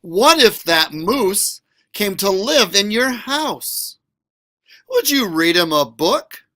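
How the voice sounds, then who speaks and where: A man reads a children's story aloud in a bright, forward voice. His pitch moves in lively swoops and his pace is unhurried. He draws out words and poses each question expressively for young listeners. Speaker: school librarian reading a picture book. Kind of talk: animated read-aloud of a children's picture book.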